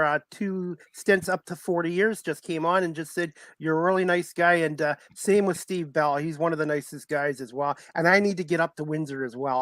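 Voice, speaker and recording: A man hosting a sports talk show, chatting casually with loose, halting phrasing and repeated stock phrases. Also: a little high, a bit bright, no echo. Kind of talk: casual interview chat.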